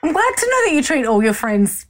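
An actress from Australia, speaking with an Australian accent as a guest in a relaxed chat. Her talk is playful and self-mocking, and she laughs easily as she goes. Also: high-pitched, fairly quick. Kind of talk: casual chat.

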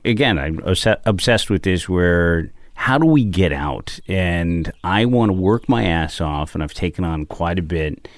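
Forties guy telling stories, low voice that swings up and down a lot, dry close mic. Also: measured pace, few pauses, dry recording. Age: 40s. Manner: animated storyteller, jumps into voices when quoting. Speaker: comedian guest. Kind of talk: casual chat, storytelling.

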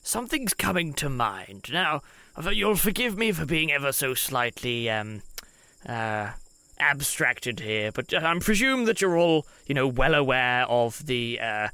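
A man's voice: mid-range voice, very animated, a little slow, bright, forceful tone, smooth, no echo, exaggerated voice. A man playing a polite, eccentric scientist who rambles hesitantly in an improvised tabletop game. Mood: earnest, rambling. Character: fussy, polite, eccentric male scientist investigator. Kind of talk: improvised tabletop role-play.

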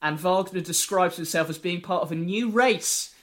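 Wry podcast host, slightly high voice. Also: male voice, normal speed. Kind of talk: casual podcast chat.

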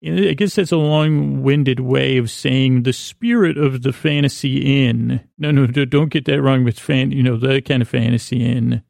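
A man hosting a sleep podcast in a rambling bedtime monologue, creaky and gravelly, halting and stammering as he repeats words and wanders off. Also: medium pitch, fairly even intonation, darkish tone, dry recording, clean studio sound. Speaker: podcast host.

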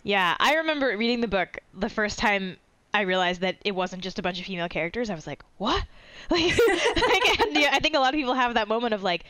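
A woman's voice: a little high, big pitch swings, a little fast, fairly bright tone, dry recording. A woman hosting an interview podcast, chatty and rambling with lots of fillers and restarts. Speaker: podcast host. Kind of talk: interview conversation. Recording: dry, dull lo-fi sound.

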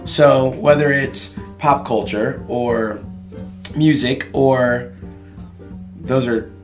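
Young male podcast co-host, voice roughened from a late night, rambling and self-deprecating. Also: mid-range voice, fairly even intonation, very slow, soft background music. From Virginia. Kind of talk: casual chat.

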